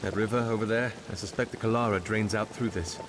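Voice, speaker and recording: An actor playing a weary, guilt-laden but resolute and loyal man in a fantasy game, speaking in a measured, earnest way. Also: fairly low pitch, steady tone, background noise, lightly acted. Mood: calm, urgent. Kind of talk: dramatic fantasy game voice acting. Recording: music behind the voice.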